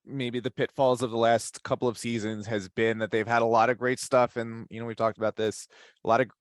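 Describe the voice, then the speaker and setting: A man giving measured, halting opinions as a panelist in a casual podcast discussion. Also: mid-range voice, little pitch movement, no echo, clean studio sound.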